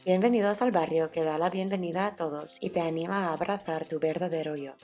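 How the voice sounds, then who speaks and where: Female documentary narrator, low register, scripted and welcoming, light music underneath. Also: a little fast, darkish tone.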